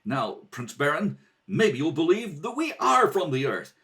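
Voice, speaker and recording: Man playing an earnest, lively young space hero. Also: a little high, unhurried, full character voice. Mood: assertive. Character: young heroic adventurer from Earth, earnest and brave. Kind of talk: old radio serial acting.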